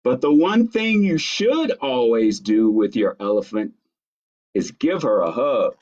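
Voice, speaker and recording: A man reads picture books aloud for young children in an expressive storytime style. His pitch swings widely while his pace stays leisurely, and he adds small asides about the pictures. The recording is dull and lo-fi. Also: unhurried. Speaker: library storytime reader. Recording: dull lo-fi audio.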